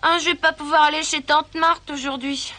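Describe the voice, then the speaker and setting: A woman's voice: high-pitched, steady tone, moderate pace, sharp tone, a little hiss or hum. A woman voicing a frank, cheerful tomboyish teenage girl in a cartoon who has a cold. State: stuffy with a cold.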